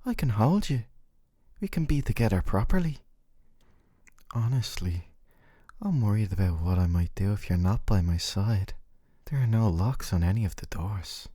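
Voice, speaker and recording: Guy doing a soft possessive dating sim boyfriend, tender and coaxing, obsessed with you, mellow dark voice, asmr roleplay, no room echo. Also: sits low, studio quality. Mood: fond, delighted, then obsessive, intense. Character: gentle, obsessive, possessive male dating-sim love interest.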